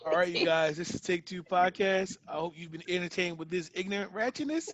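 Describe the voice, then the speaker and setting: A man co-hosting a casual podcast chat, loud and joking with quick bursts of laughter. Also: sits high, a little hiss or hum. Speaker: podcast co-host.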